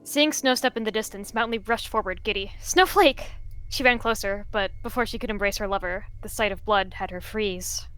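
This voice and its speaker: A young woman narrating a cat roleplay story in a gentle, reflective way. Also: mid-range voice, steady tone, fairly quick, hardly any pauses, smooth.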